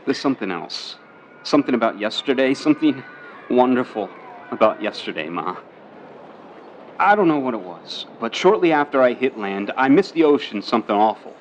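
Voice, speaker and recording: An actor playing an earnest, boyish young sailor son in a period radio drama, speaking in a halting way. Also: male voice, mid-range voice, lively intonation, slight background noise, close to an everyday voice, low-quality recording. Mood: hesitant, earnest. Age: young adult.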